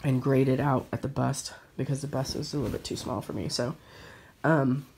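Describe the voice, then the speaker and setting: A middle-aged woman chatting casually about her sewing plans, relaxed and pausing with fillers. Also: a bass voice, creaky, slight background noise. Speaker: sewing vlogger.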